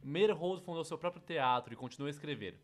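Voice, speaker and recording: A man's voice: a little high, expressive, a little fast. A man with a Brazilian accent presenting an educational course in scripted narration. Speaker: educational presenter dubbing a theatre history course.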